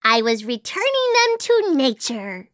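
A woman's voice: high voice, huge pitch swings, a little slow, a bit bright, no room sound, clean studio sound, heavily acted. A woman voicing an imaginative, chatty little girl in a video game, with a whimsical, earnest, childlike way of wondering aloud. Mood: dreamy, inquisitive. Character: imaginative, chatty little girl, dreamy about unicorns and princesses. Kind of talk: animated video game character voice acting.

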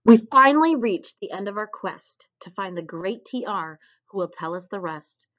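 A woman reading a children's picture book aloud in an expressive storytelling style. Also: medium pitch, moderate pace. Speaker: storybook reader. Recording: dull, lo-fi recording.

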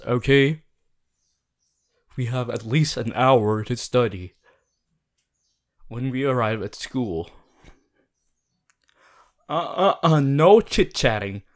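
A man voicing an earnest, fussy but caring student class president in an ASMR roleplay, gently bossy and often stumbling over his words. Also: mid-range voice. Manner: hesitant, repeating himself, gently bossy. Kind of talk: ASMR audio roleplay addressed to the listener.

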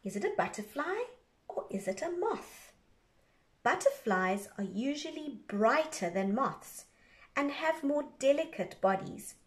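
A woman teacher reading an educational book aloud for young children in a measured, instructional way, enunciating carefully. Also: high-pitched, sing-song.

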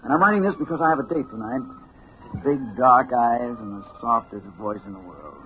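Male actor: American hard-boiled reporter, mid-pitched, clipped and determined, vintage phone-thin radio sound. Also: expressive, a little fast, close to an everyday voice. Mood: brooding. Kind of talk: 1950s radio drama acting. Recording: noisy, tinny 1950s radio recording.

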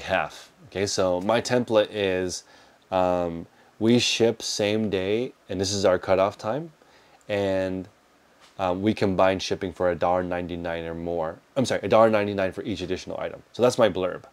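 A man's voice: low-pitched, darkish tone. A man, answering viewer questions in a casual, practical way, recorded on a clip-on lapel mic in an indoor storage room. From United States. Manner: practical, conversational, gestures with his hands while talking. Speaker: reselling business host answering viewer questions. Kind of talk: casual livestream Q&A. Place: indoor storage room lined with shelves of boxes.

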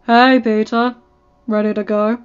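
A woman's voice: medium pitch, fairly quick, a little mellow, dull audio, close to an everyday voice. Woman doing a cool chill superhero guy in a story, casual n reassuring, music in the back. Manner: laid-back, offhand, confident. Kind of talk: fan fiction audiobook character voice. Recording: band-limited audio with background music.

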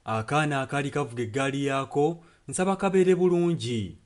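Man interpreting a sermon, medium pitch. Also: fairly quick.

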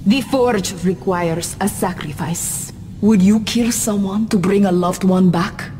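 A woman's voice: mid-range voice, dark tone, in a large hall, slight background noise, natural voice. A woman as a cold alien conqueror. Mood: menacing. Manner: cold, measured, menacing. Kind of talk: video game voice acting.

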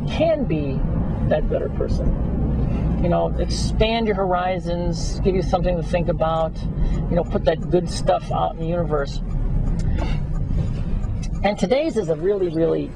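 A middle-aged man with a high squeaky voice gives an enthusiastic, rambling motivational talk while driving. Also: unhurried, creaky, pauses often. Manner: enthusiastic, rambling, self-mocking. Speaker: motivational vlogger. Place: inside a car, driving on country roads. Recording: loud car road noise. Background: car engine and road rumble.

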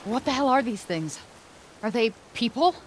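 Sarcastic young female game heroine, played by an actress, lively swooping pitch, casual streetwise delivery, loud game effects behind. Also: moderate pace, very noisy, lightly acted. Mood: wry, guarded. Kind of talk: naturalistic video game acting.

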